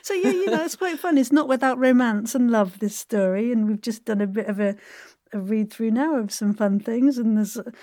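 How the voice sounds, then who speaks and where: A woman's voice: on the high side, normal speed, dark tone, no echo, studio quality. A woman hosting a casual interview, chatty and enthusiastic, with a giggly manner. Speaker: podcast host, interviewer.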